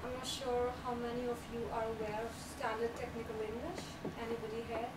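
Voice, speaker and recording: A woman's voice: fairly high pitch, level tone, fairly quick. A young woman giving an earnest, explanatory talk on technical writing at a small meetup, recorded by a far camera in a small room. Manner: earnest, explanatory, laughs lightly at times. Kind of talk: presentation talk. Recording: echoey room, voice from afar, loud background noise.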